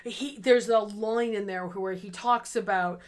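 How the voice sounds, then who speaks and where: A woman's voice: medium pitch, steady tone, unhurried. A woman co-hosting a casual literary discussion, talking in a conversational, emphatic and opinionated manner. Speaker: co-host of a story reading livestream.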